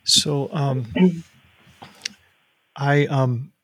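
Bright, dry-voiced podcast host. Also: male voice, mid-range voice, little pitch movement, drawn-out words, no room sound, low-quality recording. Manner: friendly, many ums, hesitant phrasing. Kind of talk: conversational interview.

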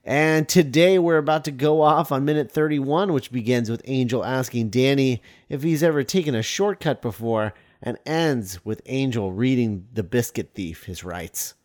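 A man hosts a casual film podcast in a middle-register voice. His phrasing is halting and hesitant as he works through his thoughts. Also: medium pitch, darkish tone. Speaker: podcast host.

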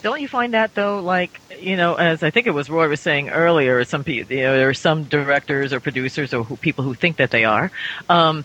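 A woman's voice: low voice, a bit bright, low-quality recording. A woman in a casual panel chat, talking emphatically and repeating herself for stress.

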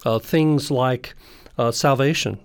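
A male scholar talks as an interview guest in a conversational academic style. He has a medium-pitched voice and moves through his explanation in measured, halting phrases, pausing as he goes. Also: smooth, no echo, studio quality.

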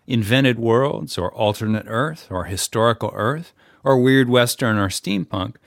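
A man's voice: medium pitch, animated, unhurried, gravelly, no room sound, clean studio sound. A man giving a scripted how-to talk as a podcast guest, in a wry, plainspoken way. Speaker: guest audio editor and podcast narrator.

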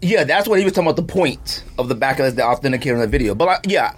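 Lively-pitched man in casual sneaker chat. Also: rapid-fire. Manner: animated, laughing, voice jumps high when incredulous. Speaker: podcast host.

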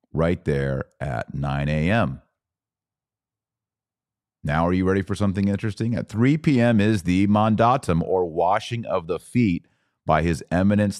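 A man's voice: deep voice, darkish tone, no room sound, studio quality. A man hosting a podcast, explaining things in an informal, conversational and emphatic way, repeating key phrases. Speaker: podcast host. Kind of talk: informal explanatory talk on church liturgy.

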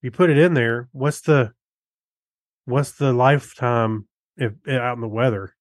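A man co-hosting a podcast, chatting casually and musing out loud in a conversational way. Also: mid-range voice, a little slow, no echo, studio quality. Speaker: podcast co-host. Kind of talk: casual chat.

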